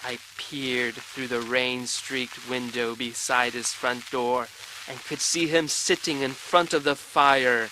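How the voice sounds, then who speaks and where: An actor playing a pompous, grimly vengeful storyteller hero in a video game, delivering a florid and theatrical monologue. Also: male voice, medium pitch, steady tone, takes it slowly, natural voice. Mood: grim, ominous. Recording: rain and storm noise behind. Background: rain ambience.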